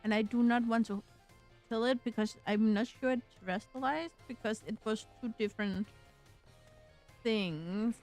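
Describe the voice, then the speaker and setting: A woman talks through a video game and reads its dialogue aloud in a slightly high, drawn-out voice, stumbling over unfamiliar words. Her pace is very slow and unhurried. Quiet game music plays underneath. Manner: slow, halting, trips over words while reading. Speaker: streamer. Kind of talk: casual gameplay commentary, reading game text aloud. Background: faint game music.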